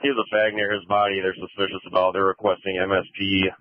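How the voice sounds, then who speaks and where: A man's voice: low-pitched, flat delivery, fast. A man relaying information over police radio in clipped jargon. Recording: tinny police radio transmission.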